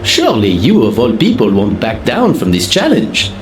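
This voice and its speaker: Flamboyant, swooping-voiced genteel gentleman. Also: on the low side, wildly swooping pitch, normal speed. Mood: grandly persuasive. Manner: florid, theatrical phrasing. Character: flamboyant, genteel older male hotel gentleman, jovial and ornate. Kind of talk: video game voice acting. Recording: roomy echo, faint background noise.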